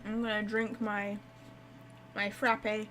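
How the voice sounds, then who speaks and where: A woman's voice: mid-range voice, flat delivery, takes it slowly, a bit bright, a little hiss or hum. A woman giving chatty, informal live gameplay commentary, thinking aloud as she goes. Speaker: game streamer.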